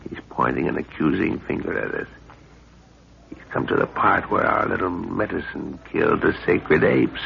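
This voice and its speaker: A man acting a middle-aged, commanding, vengeful father who leads a jungle expedition in a 1930s radio adventure, gruff and authoritative. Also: sits low, animated, normal speed, full character voice. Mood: tense, watchful. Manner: gruff, authoritative, clipped orders. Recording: muffled, noisy 1930s radio recording.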